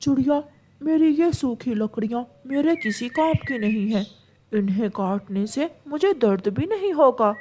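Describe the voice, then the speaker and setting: Woman voicing kindly slow-talking cartoon tree. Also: on the high side, expressive, darkish tone, slight background noise. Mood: kindly, hopeful. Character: kindly, generous dried-up neighbor tree.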